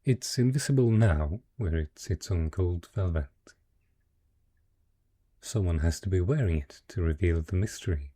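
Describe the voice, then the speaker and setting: A man playing a wealthy dragon in human guise, a possessive, teasing merchant patron who coaxes the listener slowly and courteously in an intimate fantasy romance roleplay. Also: deep voice, expressive, warm tone, slightly rough, heavily acted. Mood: coaxing, insistent. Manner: slow, teasing, courtly, coaxing.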